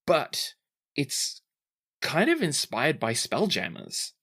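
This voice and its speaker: A man's voice: on the high side, wildly swooping pitch, slow, vocal fry, fairly bright tone, husky, pitched above the performer's own voice, full character voice. A man voicing a shy, eager young female gecko inventor who talks in modest, hesitant bursts. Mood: eager explaining. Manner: hesitant, modest, stammering repeats.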